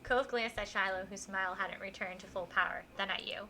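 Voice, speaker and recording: A woman livestreaming a visual novel, chatting casually and reading the story aloud in an animated way, reacting out loud. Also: mid-range voice, fairly quick. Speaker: streamer playing a visual novel. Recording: faint music behind.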